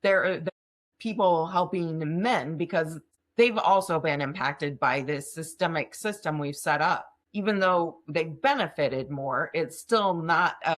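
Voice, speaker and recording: A woman's voice: low voice, unhurried. A woman hosting a podcast interview, affirming and conversational with her guest. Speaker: podcast host.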